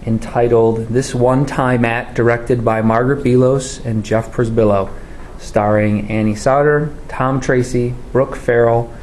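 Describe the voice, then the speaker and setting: A man's voice: medium pitch, fairly even intonation, unhurried, darkish tone. A man reading a scripted introduction as an announcer. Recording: faint background noise.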